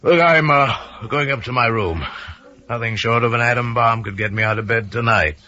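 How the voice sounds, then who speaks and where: A man's voice: mid-range voice, normal speed, bright, forceful tone, voice pushed up, lightly acted. A man voicing a scheming, philandering husband in a radio thriller. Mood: feigned cheer. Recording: muffled vintage radio recording with music.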